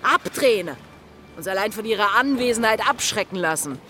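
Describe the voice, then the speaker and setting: A woman's voice: mid-range voice, lively intonation, moderate pace, sharp tone, a little hiss or hum. An actress playing a bold, defiant pirate woman in a German radio drama, challenging and insistent. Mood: defiant, urgent. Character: bold, defiant female pirate plotting mutiny, fearless. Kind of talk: German audio drama acting.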